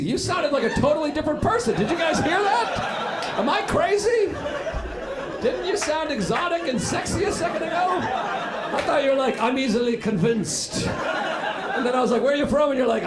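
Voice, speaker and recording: Male comic, teasing crowd work, over a loud laughing audience. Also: very high-pitched. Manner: teasing, animated, laughing through his lines. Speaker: stand-up comedian. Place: comedy club stage with a live audience. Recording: echoey club with loud crowd noise.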